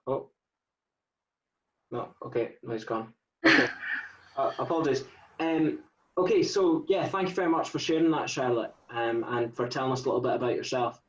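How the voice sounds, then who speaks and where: A man's voice: mid-range voice, normal speed, long pauses, a little hiss or hum. A man moderating an online panel over a video call, polite and a bit hesitant. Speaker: panel host. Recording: dull, echoey, far from the mic.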